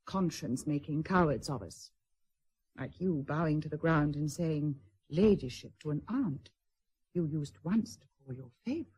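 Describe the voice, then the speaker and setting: A proud, wounded British aristocrat in a period radio play. Her voice is husky and soft-edged, sitting fairly low. She speaks formally and with care, a nervous hesitation under the poise. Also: expressive, normal speed, exaggerated voice. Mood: nervous, bitter. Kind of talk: period radio drama acting.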